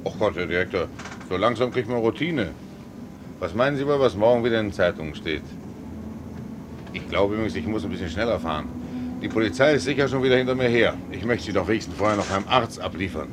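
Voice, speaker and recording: Genial rogue tram driver for radio drama, male actor: lowish voice, folksy, soothing chatter, clear vehicle noise. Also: lively intonation, fast talker, lightly acted. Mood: concerned, chatty. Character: good-natured rogue tram driver, passionate, resigned to jail. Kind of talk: German radio play acting. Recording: clear vehicle rumble and ambience.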